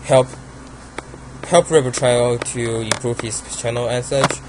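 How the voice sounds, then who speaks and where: A man's voice: mid-range voice, steady tone, a little slow, background noise. Hesitant young man with a Chinese accent. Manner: hesitant, many ums and restarts. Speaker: card game deck builder. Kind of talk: casual deck profile monologue.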